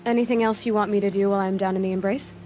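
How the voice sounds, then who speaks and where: A woman's voice: medium pitch, fairly even intonation, rapid-fire, a little mellow, a little hiss or hum, lightly acted. An actress playing a young, headstrong but caring female hunter in a video game, direct and questioning with a slightly wry manner. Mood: curious, concerned. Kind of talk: naturalistic video game acting. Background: music.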